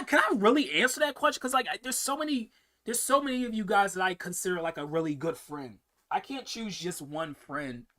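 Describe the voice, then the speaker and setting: A man's voice: high voice, sharp tone. A young man hosting a casual live-stream Q&A, answering viewer questions in a rambling way, often repeating words and restarting sentences.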